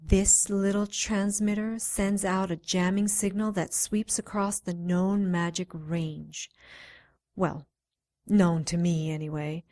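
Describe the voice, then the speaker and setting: Self-assured female doctor of magic woman acting for radio drama; mid-pitched, playful, coaxing, persuasive. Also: level tone, slow, darkish tone, close to an everyday voice. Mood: explaining, proud. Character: confident, teasing female doctor of magic and science.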